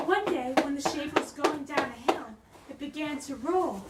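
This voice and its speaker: A woman reading a storybook aloud in an expressive, theatrical way. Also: high-pitched, normal speed. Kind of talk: dramatic storybook read-aloud. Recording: roomy, distant microphone with clear noise.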